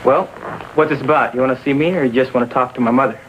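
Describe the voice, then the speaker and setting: A man's voice: mid-range voice, steady tone, fast talker, background noise, natural voice. An actor playing a surly, evasive teenage boy in 1950s TV drama style, sullen and dismissive. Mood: impatient.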